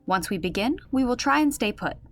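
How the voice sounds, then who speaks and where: A woman playing a composed, patient but firm storyteller in a fantasy audio drama, explaining with gentle authority. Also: on the high side, lively intonation, brisk, faint music behind. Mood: organizing.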